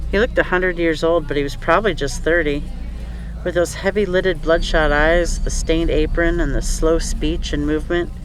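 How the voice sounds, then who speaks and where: A man's voice: on the high side, a bit bright, rough recording. A man reading scripted story narration as an audiobook narrator. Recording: faint background noise.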